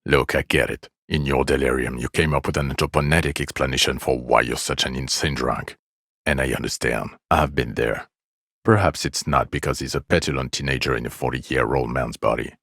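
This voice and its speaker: A man's voice: a bass voice, steady tone, a little fast, vocal fry, fairly bright tone, no room sound, exaggerated voice. A man voicing a bitter, exasperated police detective in a video game, with dry, cutting sarcasm and weary contempt. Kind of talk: dramatic game dialogue.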